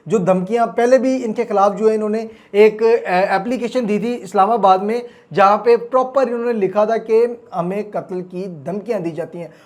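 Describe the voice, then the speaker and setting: A man with a Pakistani accent hosting a crime news report, speaking dramatically and emphatically, repeating key phrases for suspense. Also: sits high. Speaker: host of a crime news channel.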